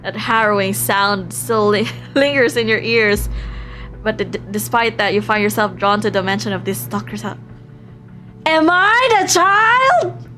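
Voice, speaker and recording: A woman livestreaming a visual novel, reading aloud with expressive, giggly reactions and the odd stumble over words. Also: mid-range voice, a little slow. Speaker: live streamer playing and reading a visual novel. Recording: music playing behind the voice.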